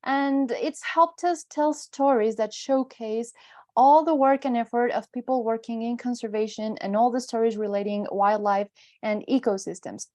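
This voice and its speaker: A woman's voice: a little high, moderate pace, darkish tone. A woman with a Mexican accent giving an informal presentation in a conversational, explanatory way. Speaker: guest speaker, wildlife photographer and educator.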